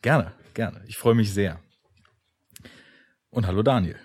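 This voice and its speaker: A man's voice: fairly low pitch, a little slow, darkish tone, husky. A man hosting a podcast, talking casually about films with his guests. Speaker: podcast host. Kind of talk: casual film podcast talk.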